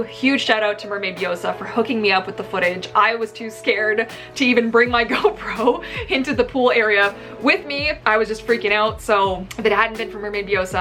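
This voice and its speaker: A woman in her thirties telling a personal story casually to camera in an animated, emphatic way, recorded in a room at home. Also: a little high. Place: small indoor room at home.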